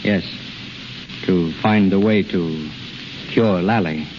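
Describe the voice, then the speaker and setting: Intense low-voiced man, crackly old radio. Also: slow pace. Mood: defiant. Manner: urgent, commanding, repeats words. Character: intense, proud, tormented male self-taught healer. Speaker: actor. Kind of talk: 1930s radio drama acting. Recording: noisy, dull 1930s radio recording.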